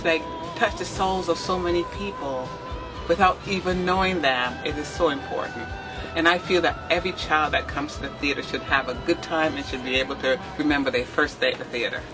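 A middle-aged woman from Barbados, a theatre makeup artist who explains her work step by step in a lively way and laughs often. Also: low-pitched, low-quality recording. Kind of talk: demonstrating work while talking to the camera. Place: small backstage dressing room, indoors.

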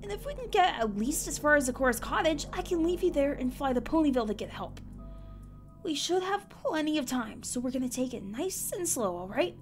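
A brash, protective young cartoon pony, acted by a woman, speaks in a very high, lively voice. She is blunt and weary, in a dramatized horror tale over soft music. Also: a little fast. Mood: steady, encouraging.

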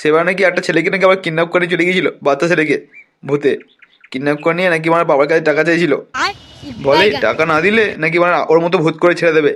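A man's voice: a little high, fairly even intonation, very fast, a bit bright, slight background noise, natural voice. A man playing a brash, foul-mouthed village gang leader in a comic cartoon, scolding and blustering colloquially. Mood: gossiping.

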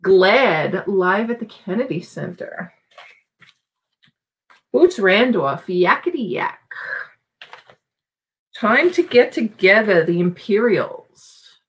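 A woman's voice: medium pitch, expressive, takes it slowly, pauses often, poor audio. Casual New York woman hosting a live record auction from home, rambling and friendly in tone. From Long Island, New York. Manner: chatty, thinking aloud while reading album titles. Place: small home room full of shelves.

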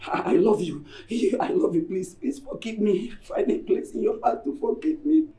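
A woman's voice: medium pitch, big pitch swings, normal speed, dark tone, breathy edge. A woman acting a middle-aged wife and mother who pleads guiltily and stammers, repeating herself, with noise behind her. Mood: frantic denial. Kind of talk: melodramatic screen acting.

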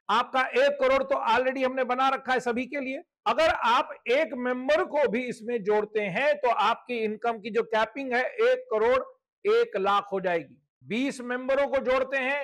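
A man's voice: very high voice, fairly bright tone, poor audio. A man with an Indian accent giving a promotional slide presentation, explaining step by step and dropping in English terms. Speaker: presenter of a membership scheme.

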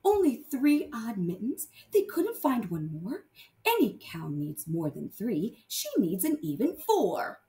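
A woman teaching primary math to young children, reading aloud and explaining deliberately with sing-song emphasis on key words. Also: fairly high pitch, huge pitch swings, warm tone, roomy sound.

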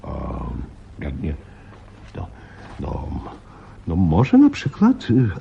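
An actor playing a flustered, grave veteran police commissioner in a radio play, husky and halting, repeating himself. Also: male voice, low voice, wildly swooping pitch, background noise, heavily acted. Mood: flustered, alarmed. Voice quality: husky, breathy. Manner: halting, muttering, repeats himself, dramatic emphasis. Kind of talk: Polish radio play acting. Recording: slightly dull radio play recording.

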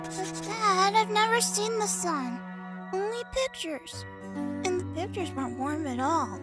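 Woman acting a little skeleton boy, very high voice, unhurried, innocent, eager, childlike, cartoon comic dub, loud music. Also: lively intonation. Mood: puzzled. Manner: childlike, earnest.

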